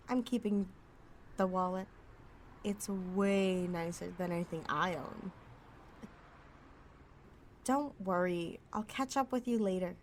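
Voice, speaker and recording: A woman's voice: medium pitch, slight background noise, lightly acted. A woman playing a cocky, wisecracking outlaw thief with a sassy, sarcastic, foul-mouthed delivery in an ASMR roleplay audio drama. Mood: breezy, evasive.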